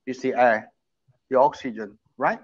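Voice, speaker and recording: A man's voice: medium pitch, unhurried. A man in his sixties, talking casually and emphatically, often repeating his points. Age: 60s. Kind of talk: casual chat. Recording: muffled lo-fi audio.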